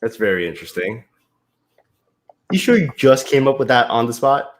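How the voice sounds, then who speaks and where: An American man hosting a party pitch game on a video call, teasing and mock-stern with his questions. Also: sing-song, moderate pace, a little hiss or hum. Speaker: game host.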